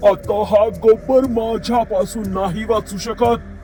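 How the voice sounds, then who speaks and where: A big, angry elephant father acted by a man gives stern warnings. The cartoon voice is high in pitch, with faint music behind it. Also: fairly even intonation, unhurried, dark tone. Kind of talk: children's cartoon story acting.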